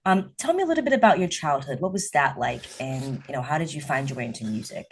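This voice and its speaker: A woman's voice: extremely low pitch, lively intonation, fast, slight background noise. A woman hosting an interview podcast, asking questions in a rambling, chatty way with many fillers. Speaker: podcast host. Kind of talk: casual interview chat.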